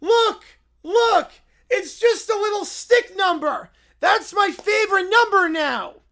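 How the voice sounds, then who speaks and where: A shrill, whiny little bear cub who speaks in broken English and wails loudly, his voice high and swinging wildly up and down, as a woman puts him on. He draws out his complaints slowly. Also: expressive, heavily acted. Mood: excited, whiny. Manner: whining, squealing, broken English.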